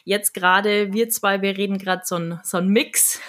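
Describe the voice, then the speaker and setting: A woman's voice: medium pitch, normal speed. A woman from Munich with a Bavarian accent, a trained radio and voice speaker, chatting casually and laughing often. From Munich, Germany. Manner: lively, laughs often while telling stories. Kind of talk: casual conversation.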